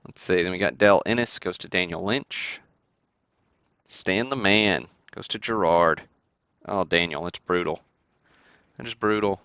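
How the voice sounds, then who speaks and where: A man's voice: low-pitched, unhurried, pushed and bright, clear, resonant tone, no room sound, muffled recording. A man hosting a sports card break livestream, casually calling out cards and buyers and chatting to viewers in an easygoing way.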